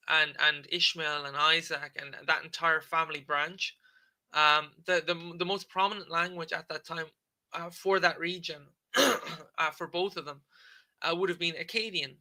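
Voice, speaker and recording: A man's voice: a little high, pushed and bright. A man hosting a livestream, explaining a text in a casual lecture and often checking in with "okay?". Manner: conversational, frequent "okay?" checks and filler "um". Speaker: livestream debate host.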